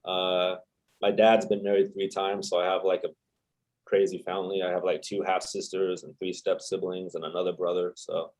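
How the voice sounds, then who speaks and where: A man's voice: sits low, monotone, moderate pace, a little mellow, poor audio. A young man, a podcast guest, telling his story in a rambling, casual way with lots of fillers. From El Salvador. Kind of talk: casual chat.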